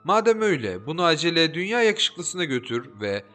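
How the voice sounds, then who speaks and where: A man's voice: a little high, big pitch swings, brisk, sharp tone, faint music behind, pitched above the performer's own voice. A man voicing a lovestruck, clever young noblewoman in a storybook, eager and questioning. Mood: urgent.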